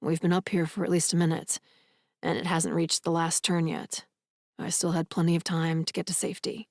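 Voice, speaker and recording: A woman reading a tender young man who keeps his voice low and hushed, dropping nearly to a whisper. The sound is close and dry, with no room around it. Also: flat delivery, brisk, no echo, close to an everyday voice. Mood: calm. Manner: soft, hushed, sometimes whispering.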